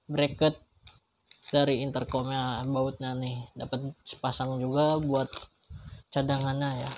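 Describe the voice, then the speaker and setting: A man's voice: a little high, darkish tone. A man with a Jakarta Indonesian accent giving a casual, colloquial product review, often repeating himself and padding with fillers. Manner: colloquial, repetitive, filler-heavy. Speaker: vlogger reviewing gear. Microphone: modified external mic on action camera. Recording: clearly noisy homemade recording with clicks.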